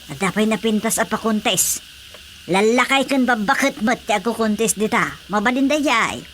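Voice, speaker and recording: An eager older village wife with an Ilocano accent in a radio comedy drama. Her voice is on the high side and she stretches out her exclamations. There is faint noise in the background. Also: animated, moderate pace, a bit bright. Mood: excited.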